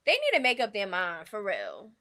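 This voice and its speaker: Bright-voiced, blunt New York woman. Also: medium pitch, huge pitch swings, moderate pace. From New York City, United States. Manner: blunt, opinionated, slangy. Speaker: livestream host. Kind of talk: casual livestream chat, celebrity gossip commentary.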